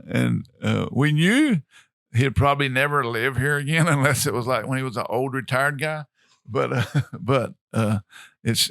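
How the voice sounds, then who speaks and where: A man's voice: mid-range voice, vocal fry, fairly bright tone, no room sound, studio quality. A pastor hosting a podcast interview, chatting casually and laughing often with his guests.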